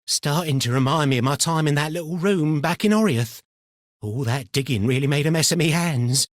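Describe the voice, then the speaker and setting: An actor voicing an eccentric, paranoid, chatty miner in a video game, with a rambling, colloquial delivery. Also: male voice, fairly high pitch, moderate pace, no echo, clean studio sound, lightly acted. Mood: uneasy, ominous. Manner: rambling, muttering, colloquial, theatrically swinging between chummy and suspicious. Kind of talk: video game character voice lines.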